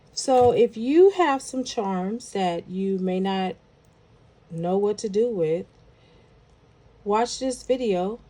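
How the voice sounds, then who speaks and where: A woman's voice: mid-range voice, dark tone. A woman with a nasal, congested voice from a head cold, chatting casually as she walks viewers through making a necklace. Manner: chatty, thinking aloud while working. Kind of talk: casual craft tutorial talk.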